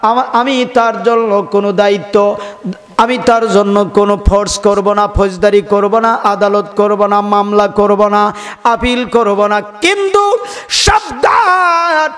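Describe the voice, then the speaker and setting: A Bangladeshi man preaching an Islamic sermon in a fervent, drawn-out, sing-song way, exhorting his listeners and at times chanting. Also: sits high, flat delivery. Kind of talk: fervent sermon with chanted passages and calls for audience response. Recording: long hall reverb, music-like sound behind.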